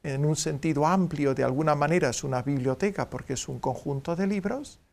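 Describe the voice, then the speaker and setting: A middle-aged man answers as a studio interview guest. His voice sits a little high, and he explains in a measured, didactic way with some hesitation. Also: gravelly, a little mellow. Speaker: guest, priest and Bible professor. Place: dark TV studio at a table. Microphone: studio microphone on a stand.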